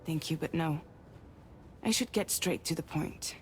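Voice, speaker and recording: A woman playing a grave, guarded leader of a courier group in a sci-fi game, speaking in a measured, businesslike way. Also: sits low, darkish tone, faint music behind. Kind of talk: cinematic game dialogue.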